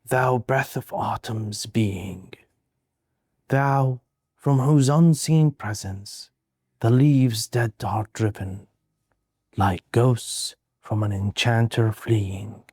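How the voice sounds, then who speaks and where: A man reciting poetry in a solemn, measured, dramatic way with deliberate pauses. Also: mid-range voice, darkish tone, slightly rough, no room sound. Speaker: poetry reader.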